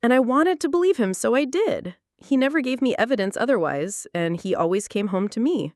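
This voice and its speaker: A woman in her late twenties narrating a personal story in the first person, confessional and lively. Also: on the high side, a little fast, no echo, studio quality. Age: late 20s.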